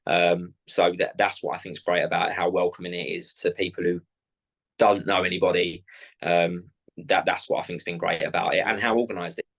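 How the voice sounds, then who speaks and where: A man's voice: sits low, fairly even intonation, fairly quick, fairly bright tone. A man in his thirties from South London, with a South London accent, talking casually and rambling with fillers as an interview guest. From South London, England. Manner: chatty, rambling with many fillers and restarts. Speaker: club owner, guest.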